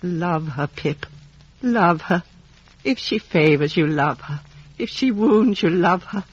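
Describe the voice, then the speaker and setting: A woman's voice: fairly low pitch, animated, slow, husky, exaggerated voice. An actress playing a bitter, vengeful old lady in an old radio drama, commanding and impassioned. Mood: frenzied urging. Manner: imperious, impassioned, repetitive commands. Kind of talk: 1950 radio drama acting. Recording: muffled vintage radio broadcast recording.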